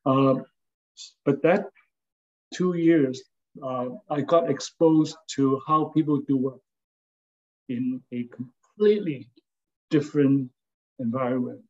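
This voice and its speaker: Older man, from Hong Kong, halting reminiscence, mid-pitched voice, dull lo-fi sound. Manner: halting, many fillers and restarts, tags with "okay?". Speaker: interviewee, architect and former design lecturer. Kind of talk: casual spoken reminiscence.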